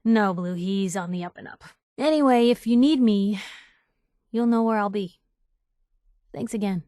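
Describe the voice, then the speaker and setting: An actress playing a feisty, wry young newspaper reporter in a video game, with an American accent and a conversational, sardonic delivery. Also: female voice, medium pitch, warm tone, no echo, clean studio sound, natural voice. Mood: wry, friendly. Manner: sardonic, conversational, quick-witted asides. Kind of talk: video game companion dialogue.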